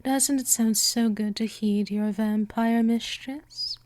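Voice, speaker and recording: Slow, seductive woman as a vampire mistress. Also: a little high, fairly even intonation, darkish tone, no room sound. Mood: soothing, hypnotic. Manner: slow, teasing, coaxing, often dropping into breathy whispers. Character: gentle dominant female vampire, seductive, teasing, immortal predator. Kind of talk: scripted hypnosis roleplay, intimate ASMR.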